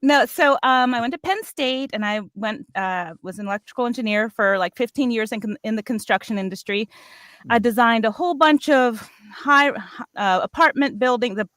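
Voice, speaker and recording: A middle-aged American woman with a fairly high voice, recorded very dry. She tells personal stories casually and playfully, breaking into her own sentences as she goes. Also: moderate pace, fairly bright tone, no room sound. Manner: chatty, playful, self-interrupting. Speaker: voice actor on a cast panel.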